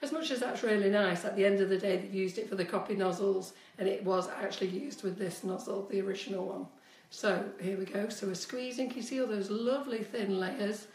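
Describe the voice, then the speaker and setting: A woman in her fifties giving a live cake decorating lesson from her home kitchen, talking chattily to viewers, her voice a little squeaky from a cold. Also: steady tone, brisk, few pauses, darkish tone, distant mic. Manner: chatty, instructive, addresses viewers directly. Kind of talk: live-streamed baking demonstration. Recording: echoey kitchen, voice often off-mic.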